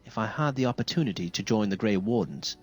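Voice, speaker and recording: A formal, dutiful young squire. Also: male voice, on the low side, expressive, quick pace, faint music behind. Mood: earnest. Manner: formal and earnest.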